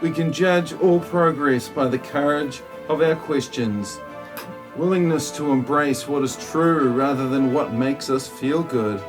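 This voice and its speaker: Man playing a ponderous, slow-talking desert mystic. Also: medium pitch, over music, natural voice. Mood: solemn. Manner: ponderous, stilted. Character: solemn, pretentious male desert mystic spouting muddled wisdom. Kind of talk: amateur parody audio play.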